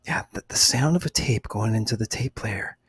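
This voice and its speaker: A middle-aged man chatting casually for ASMR in a hushed, breathy, whispery voice with an unhurried manner. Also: on the low side, dry recording. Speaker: ASMR video host. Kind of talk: ASMR, casual unscripted chat.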